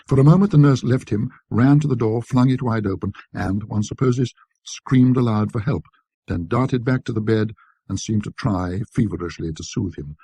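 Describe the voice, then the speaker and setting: A man reads a literary ghost story in a low, mellow voice with a husky grain. His delivery is scholarly and measured, with careful dramatic pauses. The sound is close and very dry. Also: dry recording. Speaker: narrator. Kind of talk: scripted ghost story narration, classic British literary reading.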